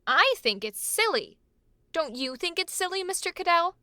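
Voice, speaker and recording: A woman playing a high, gushing society girl. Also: very animated, normal speed, bright, forceful tone, no echo, clean studio sound, exaggerated voice. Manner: breathless, gushing, coquettish. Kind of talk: old-time radio drama acting.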